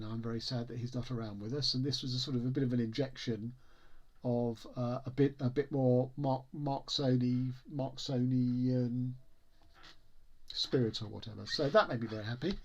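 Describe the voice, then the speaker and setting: A man giving a casual, opinionated book review, speaking with a literary vocabulary and often breaking off and repeating words as he searches for phrasing. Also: medium pitch.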